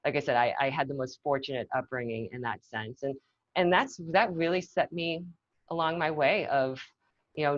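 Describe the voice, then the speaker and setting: Woman guest from Massachusetts, low voice, reflective and casual, slightly dull dry line. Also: no echo. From Massachusetts, north of Boston. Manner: thoughtful, peppered with "you know" and restarts. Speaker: guest, marketing executive. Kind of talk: casual conversational interview.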